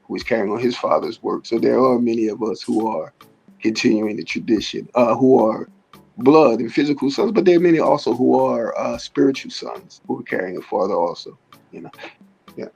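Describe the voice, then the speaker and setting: A middle-aged man with a raspy voice, speaking as an interview guest in a halting way with many fillers and restarts. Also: medium pitch, a little mellow, soft background music, poor audio. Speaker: martial arts teacher, interview guest. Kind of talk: casual conversational interview.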